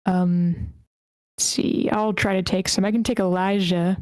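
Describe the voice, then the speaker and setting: A woman's voice: medium pitch, fairly even intonation, measured pace, a little mellow, no echo, clean studio sound. A woman hosting a group session, talking informally and encouragingly as she organizes who takes which role. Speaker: host of a script table read. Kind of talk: casual organizing talk, casting roll call.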